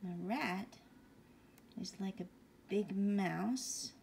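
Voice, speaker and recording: A woman reads a bedtime picture book in a mellow, gentle voice that often drops toward a whisper. She takes her time and puts questions to a young listener. Faint room noise sits behind her. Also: mid-range voice, very slow, gravelly. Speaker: bedtime story reader. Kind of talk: reading a picture book aloud to young children.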